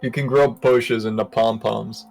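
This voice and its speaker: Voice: mid-range voice, fairly even intonation, measured pace, vocal fry, darkish tone, faint music behind, rough recording. A player in an online tabletop game bantering in a teasing, mock-exasperated way, with casual swearing.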